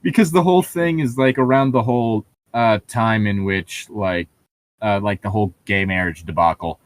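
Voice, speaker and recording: A man's voice: lowish voice, moderate pace, no room sound. A man in a casual, opinionated chat, talking in a rambling, sweary way.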